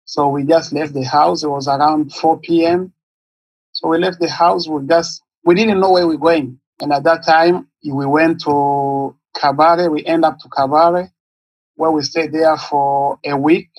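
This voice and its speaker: A man from Congo, in his thirties, with a slightly husky, raised voice. He speaks haltingly, searching for words as he recounts his story in an interview. The recording is dry and close. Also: a little high, steady tone, no echo, low-quality recording. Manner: halting, searching for words, non-native English phrasing. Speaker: guest, nonprofit founder.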